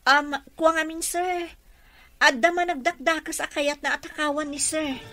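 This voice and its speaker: Woman as a high-voiced, deferential Ilocano nurse. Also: fairly even intonation, moderate pace, natural voice. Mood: wary, polite. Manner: polite, hesitant. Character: deferential, hesitant young female nurse and assistant. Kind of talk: radio drama acting.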